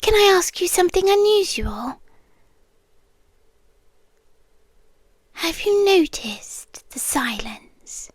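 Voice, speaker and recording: A British woman playing an eerily calm, soothing doctor with a hidden possessive streak, in a soft, breathy, whispered ASMR roleplay, close to the mic. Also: very high voice, a little slow, no echo, heavily acted. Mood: hushed, eerie. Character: softly menacing, falsely soothing female hospital doctor, possessive girlfriend. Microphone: close, dry ASMR microphone.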